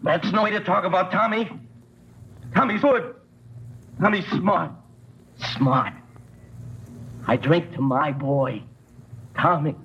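A man's voice: high voice, slow pace, pauses often, fairly bright tone, heavily acted. An actor playing an older drunken father, boozy and fondly boastful, slurring in a vintage radio crime drama. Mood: maudlin pride. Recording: noisy, muffled vintage radio recording.

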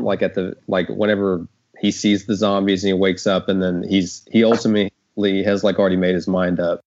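A man talking casually as a panel host, explaining things in a rambling way peppered with filler words. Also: low-pitched. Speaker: show writer and panel host. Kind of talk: casual discussion, explaining script changes. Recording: dull lo-fi audio.

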